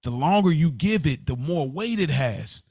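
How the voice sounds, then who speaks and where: A man's voice: on the high side, lively intonation, moderate pace, a little mellow, breathy edge, dry recording, clean studio sound. An American man co-hosting a podcast, talking casually and reflectively, often repeating and rephrasing as he explains. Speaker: podcast co-host.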